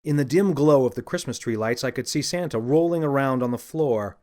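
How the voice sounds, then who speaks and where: Man, mid-pitched voice, expressive, confiding, first-person children's audiobook narration. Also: fairly quick.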